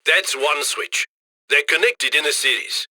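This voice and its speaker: Video game criminal handler: upbeat, cocky male, high-set and forceful, very dry close sound, slick conversational mission directions. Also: a little slow, no room sound. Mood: confident, instructing. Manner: slick, conversational, egging the crew on.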